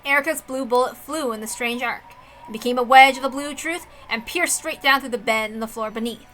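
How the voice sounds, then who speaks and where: A woman giving an expressive, deliberate dramatic narration of a visual novel. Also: sits high, faint music behind, poor audio. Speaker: reader, narrator.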